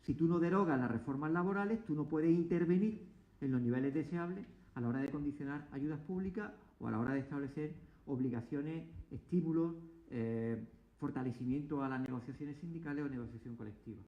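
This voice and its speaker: A man's voice: a little high, fairly quick. A man speaking as a political guest, pressing point after point with emphasis and frequent restarts. He sits at a table mic in an echoey room, and the sound is slightly dull. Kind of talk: argumentative political talk. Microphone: table mic, asked to move it closer.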